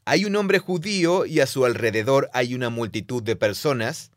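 Male podcast co-host, teacherly explainer, average pitch, dry close-miked studio. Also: moderate pace, no echo. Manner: explains at length, reads quotes aloud, laughs easily.

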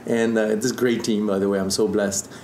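A man's voice: on the low side, level tone, brisk, a little mellow. A man in his fifties from France, speaking English with a French accent in casual interview storytelling. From southern Burgundy, France. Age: 50s. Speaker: interview guest, distiller. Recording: echoey room with background noise.

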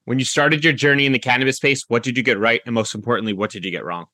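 A man hosts an interview show in a conversational way. His voice is mid-range and notably bright, with a crisp edge of energy. It is recorded dry and close. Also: medium pitch, fairly even intonation, rapid-fire, dry recording. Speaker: podcast host.

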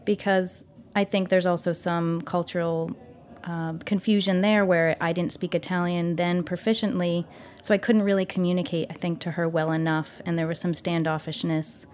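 A woman's voice: on the low side, level tone, normal speed, few pauses, a little mellow. A woman in her thirties, a guest on an interview podcast, telling her story casually with many fillers. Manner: storytelling, thoughtful, with frequent fillers.

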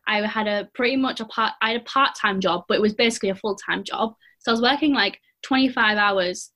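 A chatty young woman in her early twenties, talking at a middling pitch. She speaks as a panel guest and keeps her story loose with "like" and "you know". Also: fairly quick, pushed and bright. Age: 20s. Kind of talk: casual chat.

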